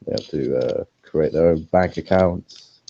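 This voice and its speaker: Very deep-voiced man, halting speech. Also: vocal fry, warm tone, husky, dry recording. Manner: halting, with many ums and pauses. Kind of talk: casual podcast chat. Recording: dry, slightly muffled lo-fi audio.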